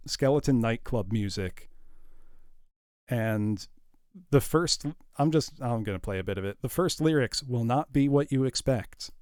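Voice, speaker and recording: A man's voice: mid-range voice, a little mellow, no echo, studio quality. A man hosting a livestream, chatting informally and enthusiastically while musing aloud. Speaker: livestream host. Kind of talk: casual livestream chat.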